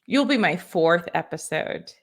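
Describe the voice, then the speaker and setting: A woman's voice: lowish voice, animated, measured pace. A young woman from Texas hosting a podcast, eager and chatty, often stumbling and repeating words. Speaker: podcast host. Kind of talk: casual interview chat.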